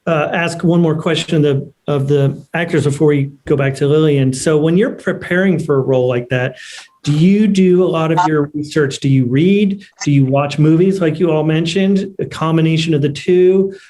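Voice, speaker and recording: A man with a slightly high voice hosts a panel over a video call. He asks questions casually and haltingly, working out his wording as he talks. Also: a little mellow, poor audio. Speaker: moderator of an actors' panel. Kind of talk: casual question-and-answer moderating.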